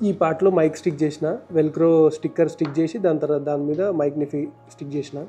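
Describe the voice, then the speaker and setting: A man with a Telangana Telugu accent hosts a casual how-to vlog at a very rapid clip. His voice is fairly high and on the mellow side. He explains each step conversationally, with music behind him. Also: flat delivery. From India. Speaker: motovlogger and host. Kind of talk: casual hands-on tutorial vlog.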